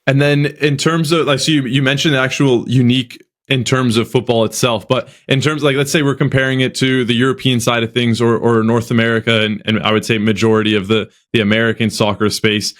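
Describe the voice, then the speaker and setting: Male podcast host, average pitch, casual delivery full of restarts. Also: little pitch movement, fairly bright tone. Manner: halting, repeats words as he talks.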